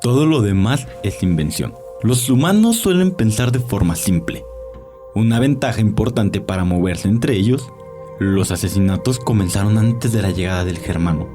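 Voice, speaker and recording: A man's voice: fairly low pitch, animated, normal speed, natural voice. A man playing a sly, arrogant old vampire doctor in an audio drama, telling his tales with theatrical relish. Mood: smug, amused. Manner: theatrical, leisurely, savoring storytelling. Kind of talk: audio drama character acting. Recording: music behind the voice.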